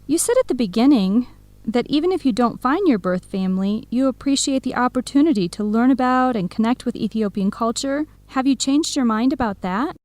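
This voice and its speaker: A woman's voice: on the high side, animated, fairly quick, darkish tone, no echo, lightly acted. A woman playing a supportive, frank young best friend in a radio drama, with a direct, encouraging, conversational manner. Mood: concerned. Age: young adult. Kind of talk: scripted radio drama dialogue.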